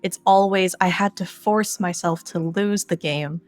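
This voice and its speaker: A woman's voice: fairly low pitch, measured pace, no echo. A woman giving explanatory answers as an interview guest. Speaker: interview guest, game editor.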